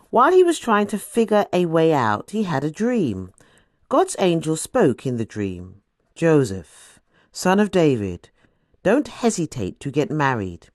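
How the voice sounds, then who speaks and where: A woman reading scripture as scripted audiobook narration, with a deliberate, dramatic storytelling delivery. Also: sits low, a little mellow, dry recording, clean studio sound.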